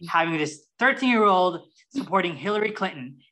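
A man's voice: high voice, animated, brisk, sharp tone. A man in his twenties from Ohio, talking as a podcast guest in an eager, chatty way with frequent restarts. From Ohio, United States. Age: 20s. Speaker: guest, youth caucus chair. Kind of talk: casual interview talk.

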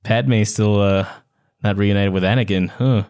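A man's voice: fairly low pitch, steady tone, unhurried, darkish tone, clear, resonant tone. A man co-hosting a podcast, talking casually about books and fandom in a laid-back, wry, jokey way. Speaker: podcast co-host. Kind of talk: casual book and fandom chat.

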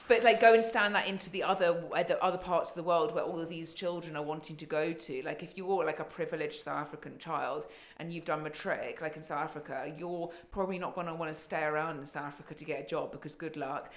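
A British woman co-hosting a podcast, chatting casually in a blunt, sweary and animated way. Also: sits low, fast talker, hardly any pauses. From Britain. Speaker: podcast co-host.

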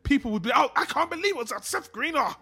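A man's voice: very high-pitched, very animated, quick pace, vocal fry, sharp tone. A man co-hosting a film discussion show, giving blunt opinions in a casual, sweary style.

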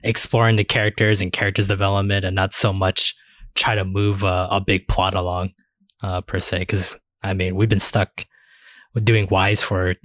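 A man with a fairly low voice chats as a podcast co-host. His phrasing is loose and colloquial, and the recording is dry with little room sound. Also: flat delivery, normal speed, fairly bright tone, dry recording. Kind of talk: casual chat.

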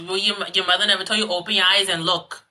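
Woman from guyana and trinidad, podcast guest, sassy and emphatic, very bright voice. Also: mid-range voice, level tone, fast talker. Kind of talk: casual podcast chat.

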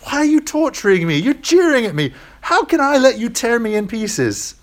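A cowardly ghost voiced by a man begs and whimpers, his voice climbing high and lurching up and down. He sounds cornered and desperate in this storybook reading. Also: very animated, moderate pace. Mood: panicked. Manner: whining, high and strained when panicked. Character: frightened, pleading male ghost.

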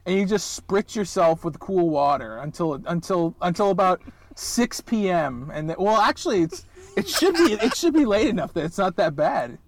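Man, high voice, podcast co-host, casual chat, joking, teasing, laughing. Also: animated, moderate pace, a little hiss or hum.

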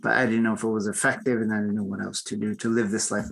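A man's voice: lowish voice, little pitch movement, quick pace, gravelly. A man in his forties from Peru, raised in Toronto, speaking as an interview guest in a reflective way, often adding "right?" and "uh". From born in Peru, raised in Toronto. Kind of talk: casual interview chat.

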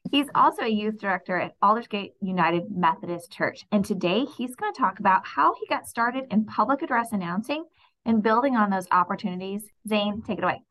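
An American woman with a mid-pitched voice acts as an interview host. She reads a guest introduction in a presentational way. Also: animated, a little fast, a bit bright, rough recording. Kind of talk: reading a guest bio.

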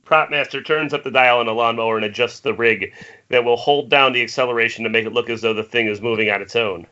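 A man's voice: mid-range voice, steady tone, quick pace, pushed and bright, dull audio. A man narrating the stage directions of a screenplay at a table read over an internet call. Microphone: internet video call.

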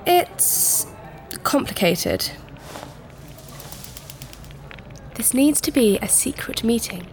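An actress playing a proud, secretive alien princess in disguise, formal and precise, in a sci-fi audio drama. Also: female voice, fairly high pitch, expressive, slow pace, faint music behind, low-quality recording. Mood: flustered, secretive. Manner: formal, precise, earnest, easily affronted.

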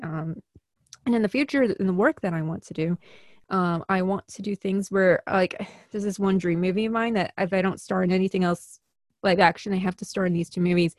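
A woman's voice: medium pitch, brisk, no room sound, clean studio sound. A woman with a Chicago accent, a guest actor talking in a chatty, giggly, self-deprecating way. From US Midwest. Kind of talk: casual podcast interview.

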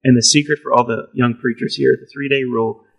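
Gravelly self-deprecating pastor, a man. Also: mid-range voice, steady tone, brisk, a bit bright, poor audio. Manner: self-deprecating, laughs easily. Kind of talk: candid conversational storytelling.

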